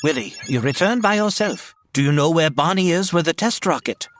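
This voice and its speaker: A man's voice: fairly high pitch, animated, fairly quick, fairly bright tone, faint music behind. An actor playing a learned male scientist with a lecturing, matter-of-fact manner in a 1930s radio serial style. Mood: professorial.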